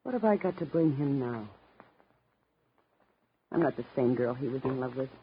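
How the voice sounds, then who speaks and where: A woman's voice: very deep voice, animated, normal speed, husky, heavily acted. An actress playing a bitter, wounded young showgirl in 1950s radio drama, with tough, slangy, melodramatic delivery. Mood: bleak. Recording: muffled old 1950s radio recording.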